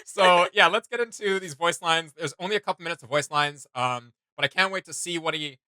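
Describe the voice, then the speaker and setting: A man hosting a reaction video, chatting casually and excitedly in a rambling way full of "like". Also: a little high, a little fast, dry recording. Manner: enthusiastic, rambling, frequent "like" filler. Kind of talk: casual excited chat reacting to game sounds.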